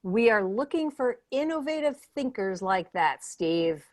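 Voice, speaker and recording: A woman's voice: on the high side, sing-song, unhurried. A woman playing an earnest, upbeat job interviewer in improvised sketch comedy, with an encouraging, mock-serious corporate manner. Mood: earnest, insistent.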